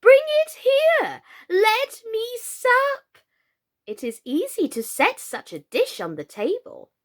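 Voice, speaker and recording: A woman voicing a gentle, dithering queen who coos like a pigeon and recites in a sing-song way. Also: very high-pitched, huge pitch swings, slow pace, pitched above the performer's own voice, full character voice. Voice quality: cooing like a pigeon.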